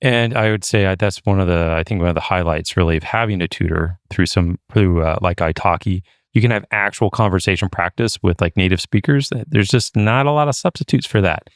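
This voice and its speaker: A man's voice: low-pitched, fairly quick, hardly any pauses, no room sound, clean studio sound. A man co-hosting a podcast, chatty and loose, with frequent fillers. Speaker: podcast co-host. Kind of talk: casual podcast chat.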